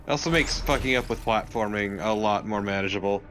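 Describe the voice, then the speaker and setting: A man's voice: on the low side, fairly quick, a bit bright, slight background noise. A man co-commentating casually on a video game. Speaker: let's play co-commentator.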